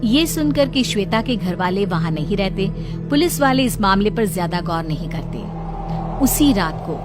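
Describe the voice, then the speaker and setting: Woman narrating a story over clear music. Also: medium pitch, animated, moderate pace. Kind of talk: scripted cartoon story narration.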